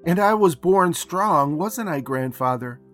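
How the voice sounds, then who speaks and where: An earnest young boy from a storybook, acted by a man, with the pitch lifted well up. He is anxious and loving, and his words come out as slow, heartfelt pleading over music. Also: animated, fairly bright tone. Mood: eager. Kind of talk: storybook read-aloud.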